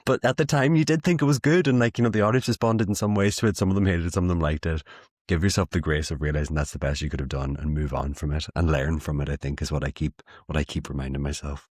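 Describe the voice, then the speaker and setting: Very deep, husky Irish man. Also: quick pace, dry recording, studio quality. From Ireland. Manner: self-deprecating, animated storytelling with laughs. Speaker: playwright guest. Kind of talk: interview conversation.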